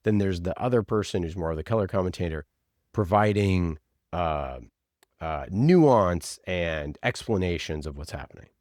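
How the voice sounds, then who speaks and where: Low-voiced man giving emphatic podcast advice. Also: expressive, normal speed, a little mellow, no echo, studio quality. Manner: emphatic, opinionated, acts out imagined exchanges. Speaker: podcast producer, interview guest. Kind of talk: casual interview talk, giving advice.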